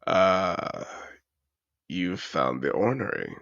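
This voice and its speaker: A man's voice: deep voice, animated, very slow, a bit bright, no room sound, dull audio, full character voice. A man voicing a suave, seductive dragon disguised as a wealthy aristocrat in a fantasy audio roleplay, speaking in a courtly, formal way. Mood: teasing, seductive. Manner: courtly, formal, smooth-talking.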